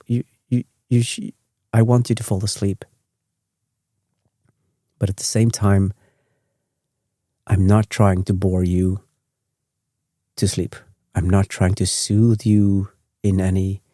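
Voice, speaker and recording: A man with a Swedish accent, English his second language, drifts through slow, meandering bedtime talk in a deep, mellow voice that barely rises or falls. He keeps correcting himself and wandering off the point. The sound is dry and close. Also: no room sound. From Sweden. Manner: rambling, self-correcting, gently chatty. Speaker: sleep podcast host.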